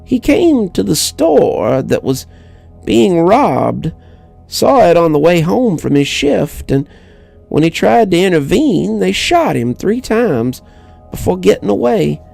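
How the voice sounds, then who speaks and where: Man putting on a creaky, wistful old widow. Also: high voice, big pitch swings, a little mellow, soft background music, pitched above the performer's own voice, full character voice. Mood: sorrowful. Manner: halting, wistful delivery. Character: lonely grieving elderly widow, wistful neighbour.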